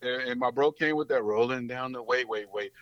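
A man from Mississippi and New Orleans, a rapper talking loudly and casually in slang. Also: mid-range voice, brisk, dry recording. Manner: loud, slangy, laid-back. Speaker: rapper guest. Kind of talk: casual interview chat. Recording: dull lo-fi dry sound.

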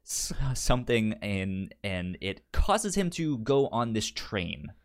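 Guy hosting a casual review podcast, lowish voice, kinda halting and trips over syllables. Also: sing-song, clear, resonant tone. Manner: hesitant, halting delivery with stammered repeated syllables. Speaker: podcast host. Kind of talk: casual film review chat.

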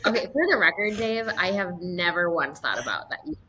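A woman moderating a roundtable, asking questions in a warm, upbeat way. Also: on the low side, sing-song, fairly quick, crowd noise, poor audio.

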